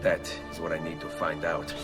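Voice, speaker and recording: An actor playing a brooding, determined Italian assassin in a video game, speaking gravely and with measure in an Italian accent. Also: male voice, very deep voice, completely flat delivery, moderate pace, far from the mic, loud music behind the voice. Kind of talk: dramatic video game acting.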